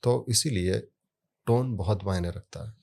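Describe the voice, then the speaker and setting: A man explaining sound therapy in a relaxed podcast conversation, telling stories from his work and leaning hard on key words. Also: lowish voice, unhurried, creaky. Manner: storytelling with anecdotes, emphatic rises on key words.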